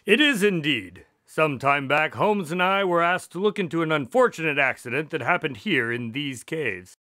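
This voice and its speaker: An affable doctor and detective's sidekick in a radio drama. His voice is bright and fairly high, with a very animated melody. He sounds polite and reassuring, a little hesitant as he tells of old cases. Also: normal speed, dry recording, clean studio sound. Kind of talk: radio drama acting and storytelling.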